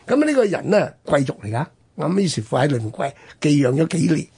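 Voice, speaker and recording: Husky, lively Hong Kong Cantonese man. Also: on the high side, brisk. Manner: explanatory, punctuated with rhetorical questions and interjections. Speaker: radio history programme host. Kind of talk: conversational historical storytelling.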